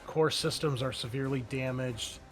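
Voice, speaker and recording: A man's voice: medium pitch, steady tone, moderate pace. Man game-mastering over faint music. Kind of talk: improvised narration of a tabletop roleplaying game.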